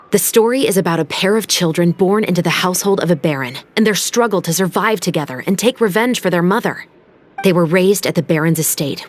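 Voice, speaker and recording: A woman playing a composed, principled young musketeer officer in a video game, speaking in a measured, formal, earnest way. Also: on the low side, natural voice. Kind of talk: dramatic game voice acting. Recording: music behind the voice.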